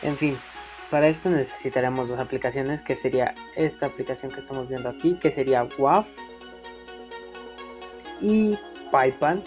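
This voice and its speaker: A man's voice: medium pitch, normal speed, pauses often. A young man giving a casual, hesitant, improvised phone app tutorial in an informal way. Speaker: tutorial video maker. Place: voice-over to a phone screen recording. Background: prominent background music.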